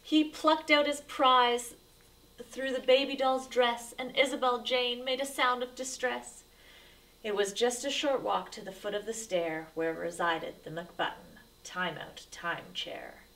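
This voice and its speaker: A woman's voice: a little high, fairly even intonation, a little slow, fairly bright tone, recorded from a distance, low-quality recording. A woman reading a rhyming picture book aloud to children as a storyteller, with dramatic, emphatic delivery.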